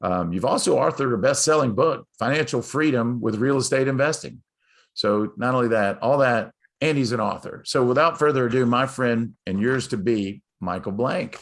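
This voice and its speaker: A man's voice: mid-range voice, moderate pace. A man hosting a live interview show, talking in a rambling, enthusiastic way with many fillers and restarts. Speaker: host.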